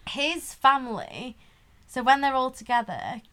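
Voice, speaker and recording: A woman's voice: sits high, animated, measured pace, a bit bright, clear, resonant tone. A woman in her twenties co-hosting a podcast, chatting casually, often laughing and filling her talk with "like". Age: 20s. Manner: chatty, laughs a lot, lots of likes. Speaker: podcast co-host. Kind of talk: casual chat.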